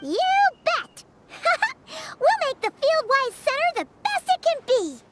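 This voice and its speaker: A tiny, excitable companion chirps at a sky-high pitch. Her bubbly, eager lines bounce up and down with lively swings in tone. It is a video game dub. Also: slow, heavy background noise, heavily acted. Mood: excited.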